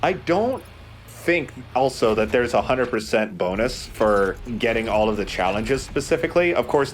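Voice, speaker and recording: A man's voice: fairly low pitch, slight background noise. A man giving wry, rambling, sweary commentary as he plays a video game. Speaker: let's play host and player. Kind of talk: casual gameplay commentary.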